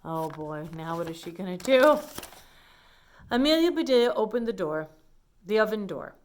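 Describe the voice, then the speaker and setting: A woman reading a picture book aloud to children, pausing to explain things in simple asides. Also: medium pitch, big pitch swings, a little hiss or hum.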